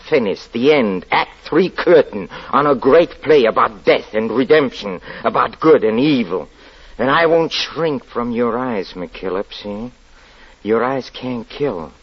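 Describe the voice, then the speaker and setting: An actor playing a murderous convict who poses as a pastor, halting and theatrical, in old radio drama style. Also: male voice, medium pitch, lively intonation, slightly rough. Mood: quiet menace. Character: murderous escaped convict posing as a small-town pastor, bitter, taunting. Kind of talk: 1940s radio drama acting. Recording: dull, lo-fi 1940s radio recording.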